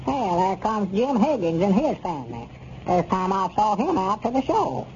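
High-voiced grumbling Southern old-timer. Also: male voice, very animated, moderate pace, darkish tone. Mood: chatty. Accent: rural Southern US. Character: grumbling, gossipy older country man, small-town theater partner. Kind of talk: 1930s radio comedy serial acting. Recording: dull, noisy 1930s radio recording.

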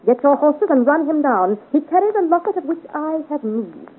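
A woman's voice: sits high, sing-song, moderate pace. A woman playing a haughty, scheming French noblewoman villain in a 1950s radio serial, imperious and theatrical in her commands. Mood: cold, commanding. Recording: muffled vintage radio serial recording.

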